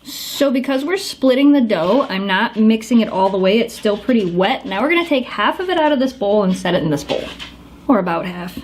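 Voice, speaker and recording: A woman's voice: medium pitch, expressive, fairly quick, a little mellow. A woman hosting a casual home cooking how-to in her kitchen, chatting to her dogs as she works. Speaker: home cook demonstrating a recipe.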